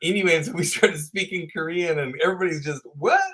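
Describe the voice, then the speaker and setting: A Texan man in his fifties, a podcast guest telling animated stories and laughing as he goes. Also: a little high, quick pace, husky. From Texas. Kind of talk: storytelling interview chat.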